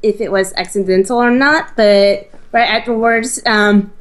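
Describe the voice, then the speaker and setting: Slow-talking woman, halting gaming co-host. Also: mid-range voice. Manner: hesitant, halting explanations. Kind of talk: casual let's play chat. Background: faint game music.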